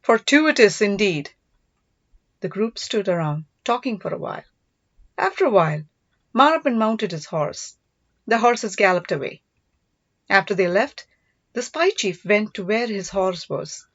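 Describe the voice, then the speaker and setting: A woman's voice: medium pitch, no echo. A woman reading a story aloud as scripted audiobook narration. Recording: dull, lo-fi, dry close recording.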